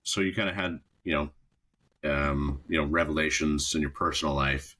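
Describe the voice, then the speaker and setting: A man's voice: a bass voice, fairly even intonation, moderate pace, pushed and bright. A man hosting a podcast interview, asking long, hesitant questions full of fillers. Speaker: podcast host.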